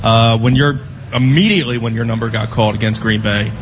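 An easygoing man co-hosts sports talk radio and asks open-ended questions. His voice comes through dull and lo-fi, with clear background noise behind him. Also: medium pitch, steady tone, fairly quick. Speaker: radio co-host.

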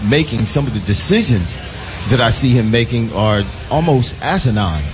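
A man with an average-pitched voice gives emphatic, casual sports opinions, often repeating phrases for weight. Clear noise sits behind him, and the sound is a bit muffled. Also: expressive, normal speed. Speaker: sports show panelist. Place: live radio broadcast at a casino. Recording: dull live pickup with clear background noise.

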